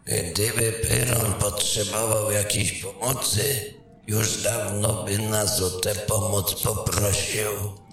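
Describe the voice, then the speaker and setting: Court mage, male actor: creaky lowish voice, haughty and rigid, fantasy audio drama, heavy echo. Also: flat delivery, fairly bright tone. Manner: haughty, disdainful, deliberate. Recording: very echoey, music underneath.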